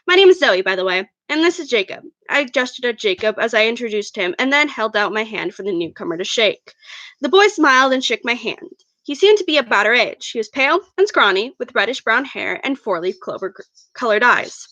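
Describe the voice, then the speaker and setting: A woman's voice: on the high side, animated, fairly quick, sharp tone, no room sound, low-quality recording. A woman reading her own fan fiction aloud as first-person narration, an amateur who stumbles over words now and then.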